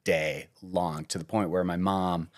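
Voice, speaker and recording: A man from suburban New York, a guest in a podcast interview, telling stories in a casual, lively way with deadpan teasing jokes. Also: low voice, unhurried.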